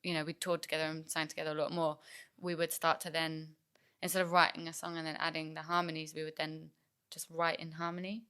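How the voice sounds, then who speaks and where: A woman's voice: low-pitched, little pitch movement, quick pace, few pauses, sharp tone, smooth. A young woman musician from England chatting casually in an interview, with lots of fillers and restarts. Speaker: musician guest.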